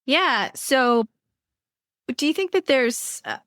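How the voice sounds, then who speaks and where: A woman's voice: high-pitched, lively intonation, takes it slowly, fairly bright tone, no echo, clean studio sound. A woman hosting a writing podcast. She asks long, chatty questions with playful asides and plenty of fillers. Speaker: podcast host. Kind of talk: podcast interview conversation.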